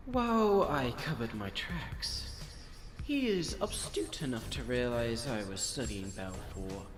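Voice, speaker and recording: A man voicing a pompous, scheming villain doctor in a comic dub, speaking formally and deliberately with theatrical diction. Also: medium pitch. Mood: musing, scheming.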